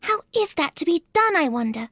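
Storybook little girl, played by a woman: high, lively pitch, polite, puzzled, earnest and a touch prim. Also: brisk, no echo, studio quality, exaggerated voice. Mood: puzzled musing. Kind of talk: dramatized storybook reading.

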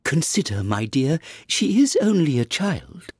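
A timid, gentle storybook king, voiced by a male reader. The voice is husky and wavers up and down as it pleads. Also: on the high side, very animated, a little slow, dry recording, studio quality, pitched above the performer's own voice, exaggerated voice. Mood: timid pleading.

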